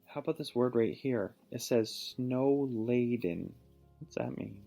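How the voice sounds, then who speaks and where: A man reading a picture book aloud to a child, pausing to ask questions and give patient encouragement. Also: mid-range voice, vocal fry, mellow, faint music behind. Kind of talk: storybook read-aloud with teaching asides.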